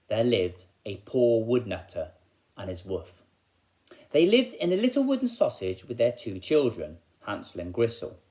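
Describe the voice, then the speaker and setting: A man with an English accent talks to children like a primary school teacher. His pitch moves about in a lively way, around an average male register. The delivery is expressive and made for storytelling.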